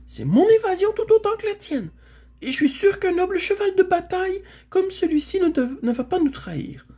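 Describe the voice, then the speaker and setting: Gentle storybook mare, female, plaintive yet spirited, high, breathy, acted by a reader. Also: sing-song, quick pace. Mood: pleading, defiant. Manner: polite, plaintive.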